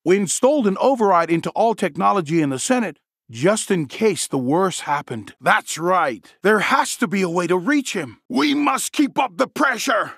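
Animated, dutiful guard captain. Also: male voice, sits high, no echo, clean studio sound, full character voice. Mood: serious, then urgent, shouting orders. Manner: earnest, formal, commanding. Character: dutiful, protective male royal guard captain. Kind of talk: video game voice acting.

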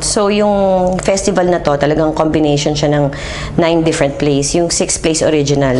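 A middle-aged Filipino-accented woman with a low voice gives a measured, explanatory interview. She switches between two languages, recorded indoors on a lapel mic with some background noise. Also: darkish tone. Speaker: interviewee, theatre festival organizer. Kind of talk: on-camera interview, explanatory talk. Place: indoor exhibit room.

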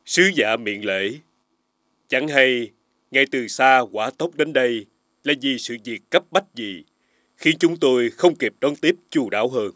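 An actor playing an aging, firm but caring king in an animated fairy tale, speaking formally and with measured dignity. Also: mid-range voice, no room sound, clean studio sound. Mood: courteous, firm. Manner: formal, measured royal speech. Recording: faint music behind.

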